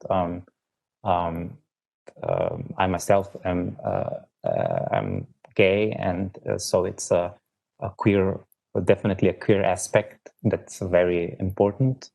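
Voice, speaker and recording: A man in his thirties from Slovenia, answering interview questions in a halting, reflective way, with many pauses and restarts. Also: low-pitched, vocal fry, a little mellow. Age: 30s. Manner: halting, thinks aloud with many ums and restarts. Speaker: poet guest.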